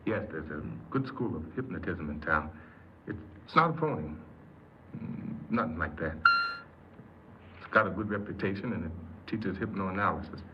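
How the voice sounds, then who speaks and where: Deep-voiced, halting ex-convict, old noisy radio. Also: male voice, expressive. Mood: earnest, wistful. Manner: hesitant, halting, repeats words. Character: earnest, insecure paroled ex-convict confessing his story. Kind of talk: 1950s radio drama acting. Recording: noisy muffled 1950s radio recording.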